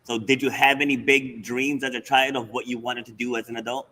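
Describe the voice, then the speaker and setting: A man's voice: mid-range voice, little pitch movement, a little fast, bright, forceful tone, rough recording. A man interviewing a guest casually, asking short questions and offering brief encouragement.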